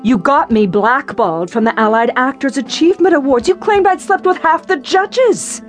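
A woman's voice: sits high, animated, normal speed, faint music behind. A woman playing an anxious, easily wounded soap opera actress, melodramatic and hesitant, in an audio drama. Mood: outraged. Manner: breathy sighs, hesitant, melodramatic.

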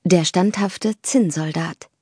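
A woman with a fairly low voice reads a fairy tale in a measured, clear storytelling manner. Faint music sits under the studio reading. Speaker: audiobook narrator. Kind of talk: scripted fairy-tale narration.

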